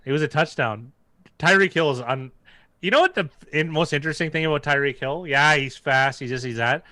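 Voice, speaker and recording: A man's voice: fairly high pitch, a little fast, bright, forceful tone, no echo. A man co-hosting a casual sports watch-along, rambling loosely and conversationally as he talks. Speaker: sports livestream co-host.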